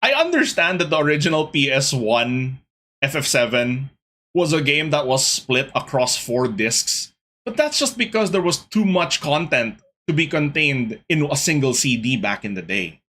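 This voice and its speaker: Man, young adult, husky voice, lively swooping pitch, exasperated gaming podcast host. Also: normal speed. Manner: animated, exasperated ranting with laughs. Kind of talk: casual gaming podcast chat.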